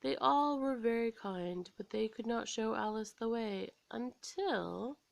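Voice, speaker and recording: An American woman storyteller reading a scripted children's story aloud in an expressive, dramatic way. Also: a little high, a little slow, vocal fry, no echo.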